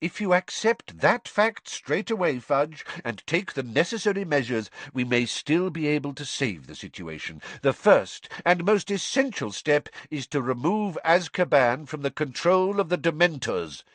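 A man voicing a calm, powerful elderly male wizard who speaks in a cool, measured, firm way, in a storybook reading. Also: fairly high pitch, dry recording, pitched above the performer's own voice.

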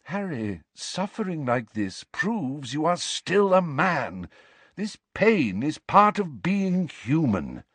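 A man voicing a calm, very old male headmaster who speaks quietly and gently. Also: a little high, slow, breathy edge, no room sound, voice pushed up, exaggerated voice. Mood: calm, gentle.

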